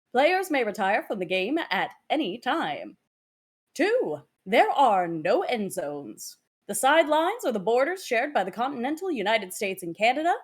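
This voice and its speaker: A woman playing an official announcer reading out game rules in a formal, emphatic, carefully enunciated manner. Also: a little high. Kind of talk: scripted table read, formal announcement.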